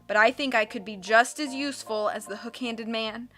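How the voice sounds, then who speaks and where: A woman's voice: a little high, normal speed, fairly bright tone, soft background music, natural voice. A woman voicing an earnest, determined, insistent male carnival performer in a storybook. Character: determined ambidextrous carnival freak, insistent man.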